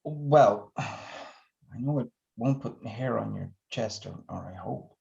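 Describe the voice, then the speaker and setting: An actor playing an awkward, sarcastic, lovesick young man in a screenplay table read, with halting, stammering delivery. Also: lowish voice, creaky, mellow, muffled recording, exaggerated voice. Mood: sheepish.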